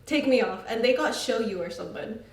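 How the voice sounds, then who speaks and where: Female, high lively voice, teasing interjections, echoey and off-mic with crowd noise. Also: brisk, far from the mic. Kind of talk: casual interjection.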